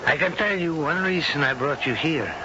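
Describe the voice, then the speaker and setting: An actor playing a grave, courtly middle-aged gentleman suitor, halting and formal, in a 1970s radio drama. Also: a little high, natural voice. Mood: solemn courtship. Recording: dull, noisy old radio broadcast.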